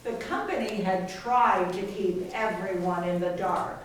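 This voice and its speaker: A woman's voice: medium pitch, a little slow. A woman narrating a scripted readers theater piece. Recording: very echoey hall, far mic, faint noise.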